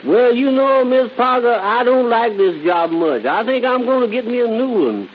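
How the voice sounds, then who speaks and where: A man's voice: very high voice, animated, moderate pace. A man playing a folksy, easily frightened street sweeper with a drawling Southern US accent in an old radio drama. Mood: folksy, grumbling. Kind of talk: 1930s radio acting. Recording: noisy, dull old radio recording.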